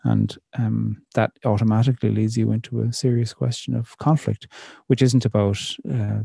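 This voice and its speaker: Poet, middle-aged male, dark gravelly voice, slow reflective delivery. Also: fairly low pitch, flat delivery, no room sound, clean studio sound. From Ireland. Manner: thoughtful, measured, many pauses and fillers. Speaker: poet, interview guest. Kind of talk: reflective conversational interview.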